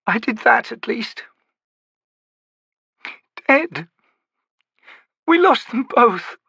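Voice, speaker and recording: A man's voice: very high voice, sing-song, very slow, creaky, bright, forceful tone, slightly rough, no echo, highs cut off, voice pushed up, exaggerated voice. A man doing a grieving battle-worn warrior woman, raised and breaking. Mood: grief-stricken. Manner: raw, breaking, anguished.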